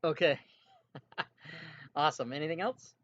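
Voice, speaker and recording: A man's voice: fairly high pitch, a little slow, fairly bright tone, muffled recording. A man acting as game master, chatting easygoingly with his players over voice chat and laughing often. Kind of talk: running an online tabletop game session.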